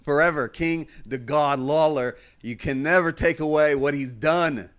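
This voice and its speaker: A man's voice: on the high side, measured pace, fairly bright tone. A man co-hosting a casual MMA podcast, talking in hyperbolic, slangy hype with some swearing. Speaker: podcast co-host.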